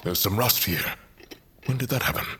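A man's voice: on the low side, normal speed, bright, forceful tone, a little hiss or hum. A man playing a stern, middle-aged clan leader and father in a fantasy audio drama, terse and commanding. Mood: gruffly caring.

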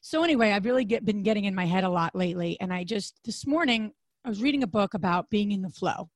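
Hoarse, raspy woman podcast host. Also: quick pace, dry recording. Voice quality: deep, raspy voice. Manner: chatty, rambling with fillers and restarts. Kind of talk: casual podcast chat.

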